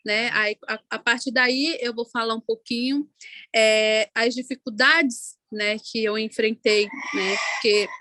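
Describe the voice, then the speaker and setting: Ticuna-woman singer, unhurried panel talk. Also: fairly high pitch, fairly even intonation, bright, forceful tone, no room sound. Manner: unhurried, many "né" tags and restarts. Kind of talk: panel talk, personal account.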